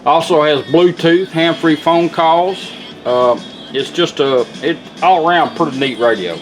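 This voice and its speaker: A man's voice: a little high, unhurried, low-quality recording. Folksy man over background music. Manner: folksy, plainspoken. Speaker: presenter demonstrating a restored car radio. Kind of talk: informal product demonstration.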